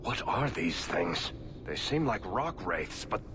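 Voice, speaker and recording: An actor playing a wry, wary, profit-minded dwarf adventurer in a video game, with an understated way of talking. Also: male voice, sits low, very animated, slow, background noise, exaggerated voice.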